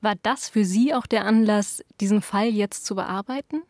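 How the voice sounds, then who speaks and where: A woman's voice: mid-range voice, moderate pace, darkish tone, dry recording, studio quality. A woman interviewer putting prepared questions in a polite, formal way.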